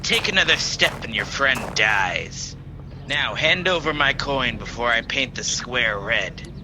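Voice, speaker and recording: Noisy home recording, a man as ruthless older male thug, mid-pitched, threatening, radio drama. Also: lively intonation, unhurried, natural voice. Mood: menacing. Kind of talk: radio play acting.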